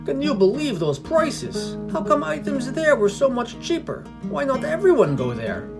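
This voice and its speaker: An earnest, naive paladin, as a story narrator puts him on. He exclaims and questions in a raised voice, quick to disapprove. It is a fantasy audio story with music behind. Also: high voice, big pitch swings, pitched above the performer's own voice. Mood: happy amazement. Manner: exclaiming, incredulous.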